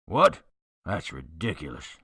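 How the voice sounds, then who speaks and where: A man's voice: very deep voice, sing-song, unhurried, sharp tone, husky, dry recording, clean studio sound, full character voice. Man acting a defensive, swooping cornered culprit. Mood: defensive, indignant. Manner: hesitant, evasive, indignant when accused. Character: guilty airport mechanic, defensive killer cornered into confessing. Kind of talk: amateur radio drama acting.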